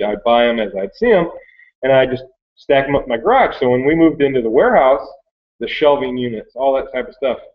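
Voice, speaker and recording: A man in his thirties with an American accent, telling his story in a rambling, casual, conversational way. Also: mid-range voice. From Michigan, United States. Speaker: online reseller sharing his story. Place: small cluttered workroom indoors. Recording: thin phone-like webcam audio.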